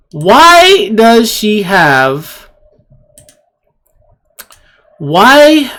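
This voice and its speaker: An indignant commentator delivering an opinionated rant at a slow, deliberate pace. The pitch swings widely for emphasis, with phrases repeated and rhetorical questions thrown out one after another. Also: a bit bright. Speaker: video commentator. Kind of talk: opinionated rant commentary on shared screen clips.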